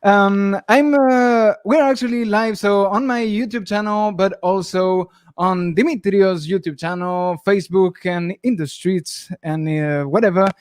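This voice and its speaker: A man's voice: high voice, a little slow, few pauses, smooth, no echo, studio quality. A man from France chatting casually as co-host of a music livestream, joking and laughing easily.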